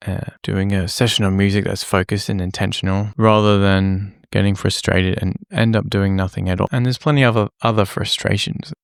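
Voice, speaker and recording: A man talks to camera in a loose, rambling way, often putting himself down and apologising as he goes. His lowish voice is gravelly and a little croaky from being unwell. The sound is dry and close, with little room around it. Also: no room sound. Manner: self-deprecating, rambling, apologetic. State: slightly croaky from illness. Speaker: music producer and vlogger. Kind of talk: rambling casual talk to camera. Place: small home room lit by a lamp. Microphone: camera-facing setup at a desk.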